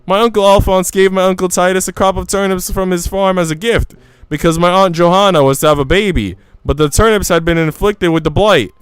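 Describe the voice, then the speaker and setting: Man putting on a higher-pitched gossipy maid. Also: a little fast, clear, resonant tone, dry recording, natural voice, low-quality recording. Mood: earnest, rambling. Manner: long-winded, exclamatory. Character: gossipy, rambling young Swiss maid, female. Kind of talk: visual novel character reading.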